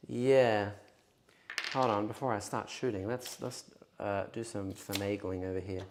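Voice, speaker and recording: A man's voice: fairly low pitch, fairly even intonation, measured pace, background noise. A man playing a tabletop wargame on stream, talking through his rules and moves in a casual, chatty way. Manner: chatty, explains rules and moves aloud while measuring. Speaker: wargaming battle report player.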